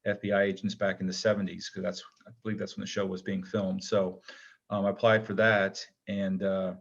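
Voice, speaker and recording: A man's voice: low-pitched, completely flat delivery, a little fast, creaky. A man giving a casual interview as a guest, talking in a hesitant, rambling, modest way. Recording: dull, lo-fi connection.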